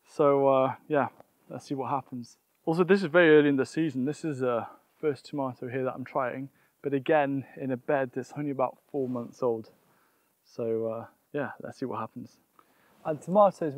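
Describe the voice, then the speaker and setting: A man's voice: fairly high pitch, darkish tone, no echo. A young man presenting a casual gardening vlog outdoors, explaining things and often thinking aloud. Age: young adult.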